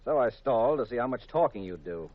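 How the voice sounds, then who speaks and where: An American actor playing a calm detective husband earnestly explaining himself in a 1950s radio drama. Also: male voice, medium pitch, fast, no room sound, natural voice. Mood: confident. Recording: dull, lo-fi 1950s radio recording.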